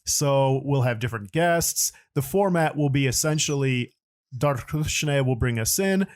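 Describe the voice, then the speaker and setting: A man's voice: mid-range voice, measured pace. A man hosting a podcast, introducing the show in a casual, chatty style. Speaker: podcast host.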